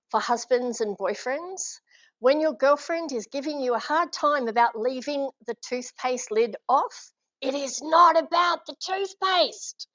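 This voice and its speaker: A woman with an Australian accent giving a live leadership talk, energetic and encouraging, and asking her audience questions. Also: a little high, no room sound, lo-fi sound. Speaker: leadership speaker. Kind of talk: live presentation to a small audience. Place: function room with an audience.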